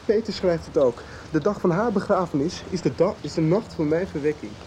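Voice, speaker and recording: An actor playing a haunted, driven teenage boy in a radio soap, speaking haltingly and intensely, with rain behind him. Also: a little high, a little mellow, slight background noise, close to an everyday voice, low-quality recording. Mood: resolute. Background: rain, music.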